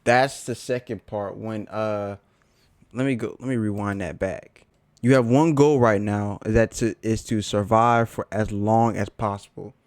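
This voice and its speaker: A man's voice: fairly low pitch, fairly even intonation, measured pace, a little mellow, dry recording. A man giving casual, slangy reaction commentary as a video host, repeating phrases for emphasis.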